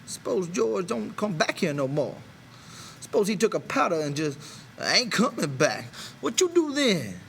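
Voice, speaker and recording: A man's voice: sits high, sing-song, unhurried, vocal fry, a bit bright, a little hiss or hum, pitched above the performer's own voice, full character voice. A man voicing a proud, bitter, lonely stable hand in an audiobook, talking in an insistent, rambling colloquial way. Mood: goading. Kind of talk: character voice in an audiobook reading.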